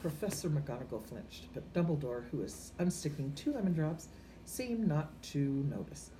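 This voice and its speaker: An older woman reads a storybook aloud in a low, very mellow voice. Her delivery is expressive but homely and a little stumbling, with faint noise in the amateur recording. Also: moderate pace, poor audio. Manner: informal, stumbles over words. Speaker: grandmother reading aloud.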